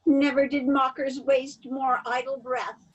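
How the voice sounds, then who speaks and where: A woman playing a wronged, pleading young gentlewoman in an amateur Shakespeare verse table read. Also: high-pitched, level tone, unhurried, slightly rough. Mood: indignant, hurt. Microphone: video call. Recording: dull, lo-fi video call.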